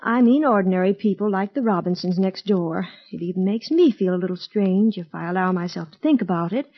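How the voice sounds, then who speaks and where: An actress playing a prim, fretful middle-aged aunt, anxious and proper, in a mid-century radio drama. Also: female voice, medium pitch, animated, a little fast, husky. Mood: uneasy, defensive. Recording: dull lo-fi old radio recording.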